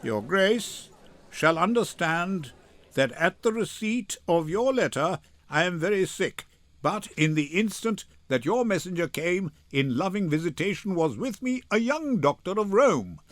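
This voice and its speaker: Older man acting stately male duke, Shakespearean courtroom, authoritative, unhurried, expressive, dry sound. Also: sits high, dry recording, heavily acted. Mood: formal, gracious. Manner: formal, authoritative, measured. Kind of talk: dramatic Shakespeare reading.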